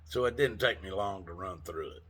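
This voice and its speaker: A man's voice: deep voice, moderate pace, fairly bright tone, husky. A man in his sixties telling a rambling, folksy story in a casual vlog, laughing often and cursing mildly. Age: 60s. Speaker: vlogger.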